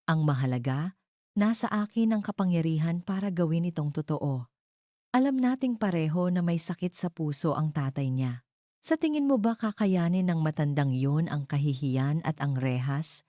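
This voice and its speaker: A woman's voice: deep voice, expressive, moderate pace, mellow, no room sound, studio quality. A woman voicing a cold, controlling, wealthy older mother in an audio drama love story, calculating and commanding. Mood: cold threat.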